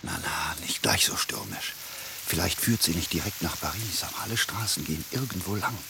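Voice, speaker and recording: Gravelly, determined soldier. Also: male voice, low voice, fairly quick. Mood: calm, reassuring. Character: determined gruff male soldier, stubborn but placid comrade. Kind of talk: radio play acting. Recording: hissy, noisy radio play scene with rain.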